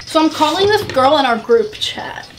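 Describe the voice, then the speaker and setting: Very high-pitched giggly young woman. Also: expressive, moderate pace, noisy background. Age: young adult. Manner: giggly, playful. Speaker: prank caller, YouTube vlogger. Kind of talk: casual prank phone chat.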